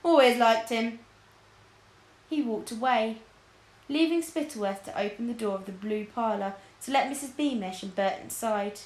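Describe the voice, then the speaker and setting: A woman reading a children's book aloud as scripted, expressive narration. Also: fairly high pitch, normal speed. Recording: roomy, echoing indoor sound.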